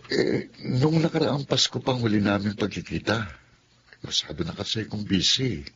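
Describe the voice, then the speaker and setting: An actor playing an earnest young man in love, speaking gently in a radio drama. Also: on the low side, very animated, moderate pace. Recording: muffled radio-play recording with faint noise.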